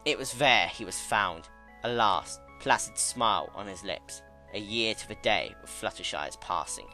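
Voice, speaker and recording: Low, expressive male story narrator. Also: measured pace, soft background music. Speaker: narrator, fan-fiction reader. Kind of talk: dramatic scripted narration.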